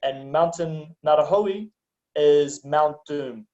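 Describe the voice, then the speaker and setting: A young New Zealand man talks casually as an interview guest, easygoing and chatty with frequent ums and light laughs. His voice sits a little high for a man. The recording sounds dull and lo-fi. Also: slow. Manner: relaxed, chatty, laughs easily, many ums. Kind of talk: casual conversation. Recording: dull lo-fi audio.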